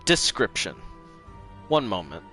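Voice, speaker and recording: A man's voice: on the low side, very animated, slow, bright, forceful tone, soft background music. A man hosting a game livestream, switching between casual chat and dramatic reading aloud. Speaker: livestream host playing games and reading aloud.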